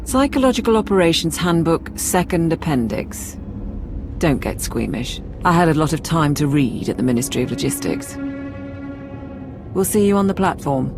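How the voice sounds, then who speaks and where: A performer playing a dry, hard-nosed imperial intelligence officer, giving brisk, wry briefings in a video game. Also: mid-range voice, very animated, over music. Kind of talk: video game cutscene acting.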